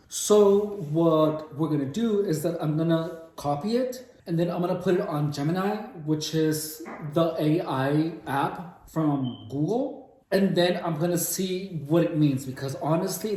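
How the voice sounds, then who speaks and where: A man with a heavy Mexican accent reading a book aloud slowly and stopping to puzzle over words, with casual swearing. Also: fairly high pitch. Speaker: book reader and explainer. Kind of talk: casual read-along of a book. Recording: echoey room.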